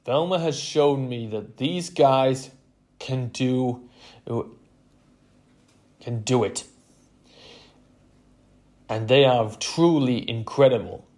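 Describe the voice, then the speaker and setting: A man's voice: mid-range voice, slow, long pauses, a little mellow, lightly acted. A man voicing an earnest football coach and father rallying his team in a story. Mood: insistent, earnest.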